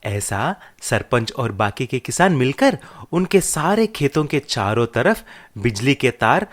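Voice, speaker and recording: A man's voice: mid-range voice, sing-song, measured pace. A man reading a scripted children's story as narrator.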